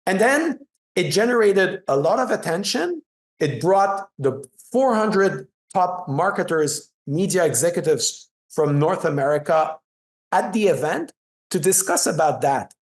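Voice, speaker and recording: A man's voice: high-pitched, unhurried. A middle-aged man with a French Canadian accent, French his first language, speaking as a guest in an interview, telling stories with emphasis and frequent restarts. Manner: animated, emphatic storytelling with restarts. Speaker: PR agency founder, guest. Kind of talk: conversational interview.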